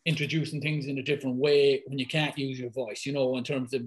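Male guest, thick Irish accent, mid pitch, rapid chatty delivery. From west of Ireland. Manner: fast, lively talker, many fillers. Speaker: guest, football fitness coach. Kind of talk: casual podcast conversation.

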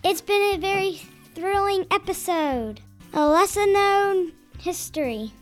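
A female performer playing a solemn announcer, giving deliberate scripted narration in an old-fashioned radio play. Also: very high voice, faint music behind. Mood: grand. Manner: deliberate, storytelling.

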